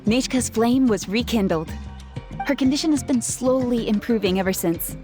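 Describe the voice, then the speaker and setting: A woman's voice: a little high, normal speed, warm tone, soft background music, lightly acted. A woman playing a grateful, earnest young gem artisan who talks readily, in a video game. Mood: earnest storytelling.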